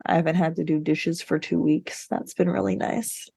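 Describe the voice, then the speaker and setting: A woman's voice: low voice, fairly even intonation, moderate pace, vocal fry, dark tone, slightly rough, dry recording. A woman co-hosting a podcast in casual chat, with a wry, self-mocking, joking way of talking. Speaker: podcast co-host.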